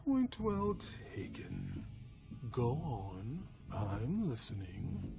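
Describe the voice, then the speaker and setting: An actor playing a suave, formal vampire clan leader in a video game, with courtly, measured politeness and quiet menace. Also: male voice, fairly low pitch, huge pitch swings, gravelly, over music, full character voice. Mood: wary, measured. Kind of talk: dramatic video game acting.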